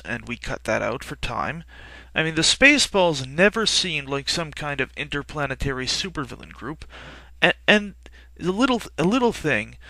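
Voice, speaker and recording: A man's voice: fairly high pitch, pushed and bright, no room sound, rough recording. A man giving sarcastic review commentary in a teasing, emphatic way. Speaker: comic reviewer.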